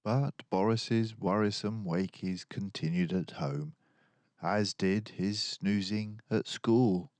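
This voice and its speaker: An older man reading a children's story aloud as an expressive narrator. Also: fairly low pitch, takes it slowly. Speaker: storybook reader.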